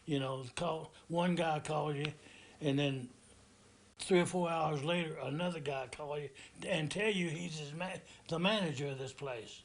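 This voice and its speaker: A male interviewee with a somewhat high voice. He talks casually and haltingly, in a folksy manner, repeating words as he goes.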